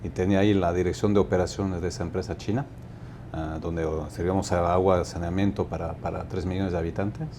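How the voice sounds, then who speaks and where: A man in his fifties from Mexico, a company director answering interview questions in a measured, thoughtful way, often restarting his sentences. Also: deep voice, little pitch movement, background noise. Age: 50s.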